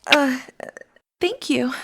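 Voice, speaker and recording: A woman's voice: high voice, drawn-out words, vocal fry, slight background noise, full character voice. A woman playing a charming, genteel sister in an audio drama, with a polite, airy, sociable manner. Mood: light, gracious.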